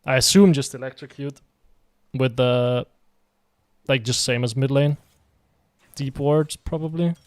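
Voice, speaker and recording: A man's voice: mid-range voice, measured pace, long pauses, a little mellow, no echo. A man from Denmark chatting casually while streaming a game, rambling and answering his viewers' messages. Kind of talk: casual live game commentary.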